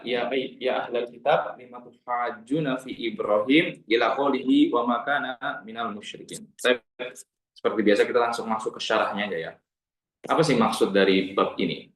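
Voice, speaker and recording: A man teaching an online Islamic studies class. He explains slowly, repeats phrases for emphasis and recites Arabic passages. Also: on the low side, a bit bright, roomy sound, rough recording.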